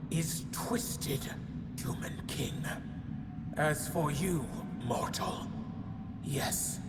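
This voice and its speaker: An actor voicing a solemn, aloof otherworldly guardian in a video game, stately and ominous, with phrases trailing into breathy whispers. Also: male voice, on the high side. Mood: stern, condemning. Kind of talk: dramatic video game cutscene acting. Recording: long cavernous reverb, loud ambient noise.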